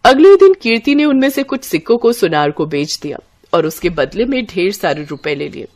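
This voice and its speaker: A woman tells a story in scripted narration. Her voice sits at an average pitch for a woman, in the role of storyteller. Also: big pitch swings, a little fast, a little mellow.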